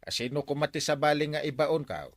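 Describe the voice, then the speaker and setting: A man playing a lazy, bossy villager in a comedy audio drama, whiny, excitable and evasive. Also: on the high side, brisk, dry recording, studio quality. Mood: nosy, scheming. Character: lazy bossy village man who orders others to do chores. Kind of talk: comedy radio drama acting.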